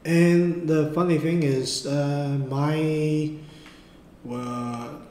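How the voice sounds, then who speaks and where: Man, Mandarin first language, art teacher; unhurried, hesitant delivery, roomy echo. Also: on the high side, steady tone, mellow. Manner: halting, many fillers and restarts. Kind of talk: unscripted drawing lesson. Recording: roomy echo, faint background noise.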